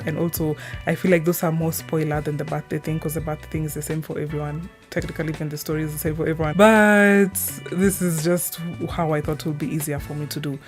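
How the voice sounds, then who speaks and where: A woman's voice: low voice, darkish tone. A woman giving a casual, rambling introduction in an informal, somewhat scattered and hesitant way. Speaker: video creator.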